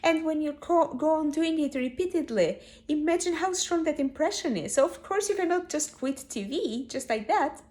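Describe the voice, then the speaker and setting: A woman from Albania giving a slow, reflective spiritual talk to camera, with many pauses and fillers, growing emphatic at times. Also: high-pitched. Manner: grows animated and emphatic. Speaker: spiritual teacher.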